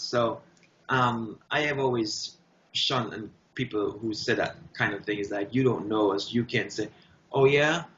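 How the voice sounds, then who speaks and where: A man speaking as an interview guest, giving impassioned, emphatic answers in short, deliberate, declarative phrases. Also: medium pitch, fairly bright tone. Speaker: guest artist. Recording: dull, roomy echoing sound.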